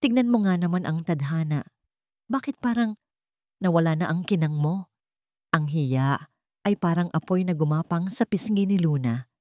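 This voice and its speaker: A woman's voice: on the low side, normal speed, long pauses, warm tone, no echo, clean studio sound. A woman narrating a scripted story in a dramatic style.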